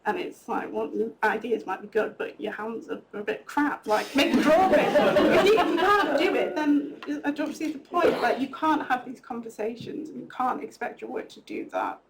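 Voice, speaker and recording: A woman's voice: high voice, expressive, moderate pace, few pauses, a loud crowd, very noisy. A young woman from North East Wales, an artist speaking on a panel in a chatty way, full of "like" fillers. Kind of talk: casual panel talk.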